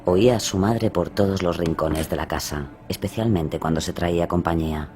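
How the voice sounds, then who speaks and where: Deep-voiced male horror narrator. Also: moderate pace, a little mellow. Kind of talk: scripted dramatic true-crime narration. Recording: music behind the voice.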